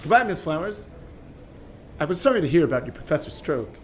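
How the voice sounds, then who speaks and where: Actor as a courteous, high-voiced conference man. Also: sing-song, fairly quick, a little hiss or hum. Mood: sympathetic. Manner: courteous, sympathetic. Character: polite male acquaintance at a science conference.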